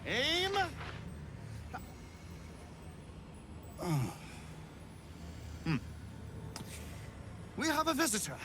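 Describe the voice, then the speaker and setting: Pompous, slow-talking wizard villain. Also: male voice, high voice, background noise, heavily acted. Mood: imperious, playful. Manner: pompous, drawn-out, deliberate delivery. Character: haughty, theatrical male wizard, smugly menacing tower master. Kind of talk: video game villain acting.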